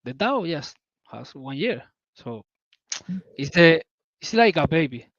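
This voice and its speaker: Spanish-accented man, halting eager English. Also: a little high, sing-song, a bit bright, no room sound, dull audio. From southern Spain. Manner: halting, searching for English words, enthusiastic. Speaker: community member guest. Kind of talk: casual call talk.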